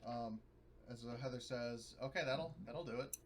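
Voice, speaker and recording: A man's voice: mid-range voice, moderate pace, slight background noise. A man talking casually as a player in a tabletop game, hesitant and thinking aloud.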